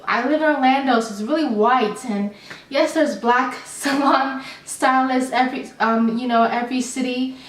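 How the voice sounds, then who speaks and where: A young adult woman telling a storytime vlog in a chatty, animated, rambling way with many fillers. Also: high-pitched, normal speed. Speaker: vlogger. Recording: roomy, slightly distant mic.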